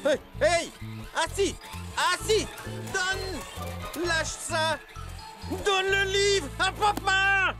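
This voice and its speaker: A man playing an excitable, bossy, sputtering schemer in a French-dubbed cartoon. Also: very high-pitched, very slow, pauses often, exaggerated voice. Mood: frantic, bossy. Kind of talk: French cartoon dub acting. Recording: music behind the voice.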